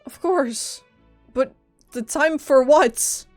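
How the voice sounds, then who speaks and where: A woman's voice: high-pitched, extremely slow. A woman giving casual live gameplay commentary, reacting and talking back to the game's characters. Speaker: game streamer. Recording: game music behind.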